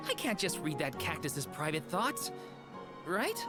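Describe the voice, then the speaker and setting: An earnest young fantasy hero, caring and conscientious. His delivery swings widely in pitch, with clear game music underneath. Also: big pitch swings, a little slow. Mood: hesitant, curious. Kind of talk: fantasy video game voice acting. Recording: game audio with music behind.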